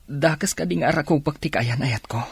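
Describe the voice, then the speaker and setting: A man's voice: on the high side, moderate pace, gravelly, husky, no echo, full character voice. A man playing a remorseful, pleading former boyfriend with an Ilocano accent in a radio drama. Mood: longing.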